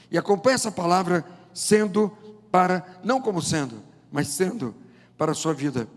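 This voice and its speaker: Male pastor, 70s, Brazilian accent. Fairly high voice, measured emphatic sermon delivery, long auditorium reverb. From João Pessoa, Paraíba, northeast Brazil. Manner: deliberate, emphatic phrasing with rhetorical questions and pauses. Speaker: pastor, preacher. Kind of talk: sermon with scripture reading and prayer. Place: large indoor church auditorium.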